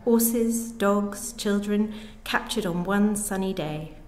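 A woman's voice: mid-range voice, slow. Woman narrating in a roomy, echoing space. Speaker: reader of a short story. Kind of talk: scripted narration, live reading. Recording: echoey room with background noise.

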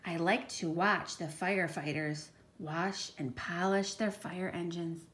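A woman's voice: fairly low pitch, steady tone, a little slow, a bit bright, slight background noise. A woman teacher reading a picture book to young children, speaking in an emphatic, child-directed way with questioning asides. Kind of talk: read-aloud storytime with explanatory asides.

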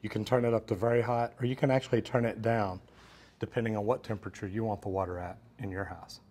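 A man's voice: on the low side, flat delivery, fast, darkish tone. Plain-spoken man demonstrating plumbing. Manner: plain-spoken, practical, addresses viewers as "guys". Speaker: plumber and instructor. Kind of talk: hands-on instructional demonstration. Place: metal storage unit, indoors. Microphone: lapel mic.

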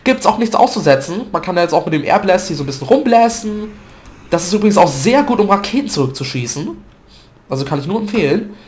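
A man's voice: sits high, lively intonation, fast talker, darkish tone. Chatty guy with a stuffy cold. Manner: chatty, loose, with filler words and self-corrections. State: stuffy nose, has a cold. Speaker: gaming video commentator. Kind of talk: casual gameplay commentary.

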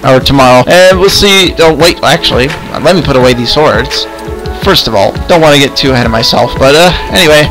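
A man improvising in-character chat in a video game roleplay, halting and often repeating words. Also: medium pitch, over music, rough recording. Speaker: host and player of an online roleplay series.

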